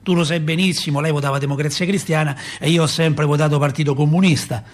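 An actor playing a talkative middle-aged working-class Roman father, a doorkeeper, in an expressive, rambling radio-play monologue with a Roman accent and a husky voice. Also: a little high, fast, close to an everyday voice. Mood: exasperated. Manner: expressive, rambling, repeats himself and asks rhetorical questions. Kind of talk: theatrical radio monologue, colloquial storytelling.

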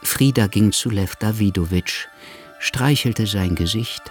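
A man narrates a radio drama from a script. His voice sits fairly low, and faint music plays underneath. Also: unhurried.

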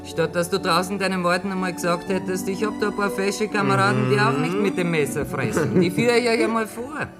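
An actor playing a jovial, mocking army officer with an Austrian accent and colloquial teasing manner in a radio drama. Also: male voice, high voice, a little fast, hardly any pauses, lightly acted. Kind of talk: German radio drama acting. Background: music.

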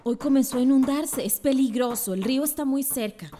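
A woman's voice: sits high, fairly quick, warm tone, lightly acted. A woman acting an earnest, impatient schoolteacher in a radio drama. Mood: exasperated, firm. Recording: faint background noise.

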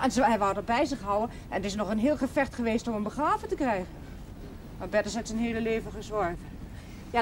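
A woman's voice: on the high side, a little mellow, lightly acted. An actress playing a chatty, forthright countrywoman who gossips bluntly and with plenty of exclamation. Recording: noisy old TV drama soundtrack.

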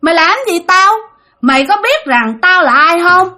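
A woman's voice: extremely high pitch, lively intonation, normal speed, bright, forceful tone, voice pushed up, full character voice. A woman voicing a cocky gangster ghost who has possessed a young woman, taunting in brash, defiant street talk.

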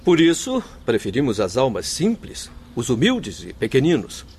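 Solemn, eloquent Brazilian doctor, animated delivery. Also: male voice, mid-range voice, moderate pace, soft background music. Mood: earnest. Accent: Brazilian Portuguese. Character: earnest, courteous male doctor spirit in the afterlife. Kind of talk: Brazilian radio drama acting.

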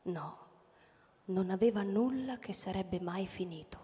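A woman narrating a science fiction story for a radio drama in a measured, scripted storytelling style. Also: mid-range voice, mellow, big-room sound, lightly acted. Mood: measured, grave.

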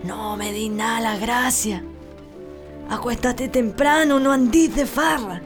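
A woman's voice: fairly high pitch, slow pace, music in the background. A woman playing a stern, mysterious Chilean country healer in a radio drama, scolding and commanding.

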